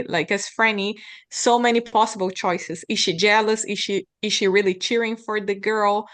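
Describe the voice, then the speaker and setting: Woman giving casual, reflective feedback. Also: medium pitch.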